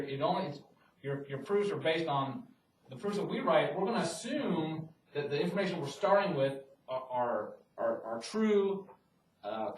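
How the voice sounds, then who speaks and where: A man's voice: on the high side, slight background noise. A man teaching a math class, talking in a loose, conversational way with frequent restarts and fillers. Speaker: math lecturer. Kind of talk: classroom lecture. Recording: roomy classroom audio from a distant mic.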